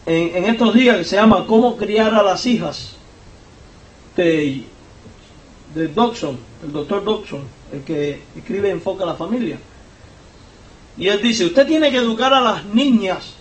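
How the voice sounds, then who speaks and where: High-voiced Cuban pastor in an echoey church. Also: male voice. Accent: Cuban Spanish. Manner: emphatic preaching, climbs in pitch and volume on key points. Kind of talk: sermon. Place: church sanctuary. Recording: echoey church hall, voice from far off.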